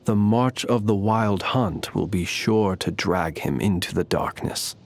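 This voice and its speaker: A man voicing a calm, wry, ancient fae lantern keeper for a video game, speaking in formal, measured, theatrical phrases. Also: low-pitched. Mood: musing, ominous. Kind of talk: scripted video game character voice lines.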